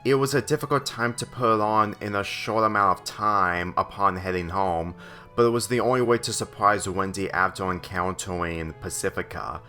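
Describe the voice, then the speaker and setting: A man narrating a written story aloud in a slightly halting way. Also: lowish voice, fairly even intonation, pushed and bright, faint music behind. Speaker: fan fiction reader.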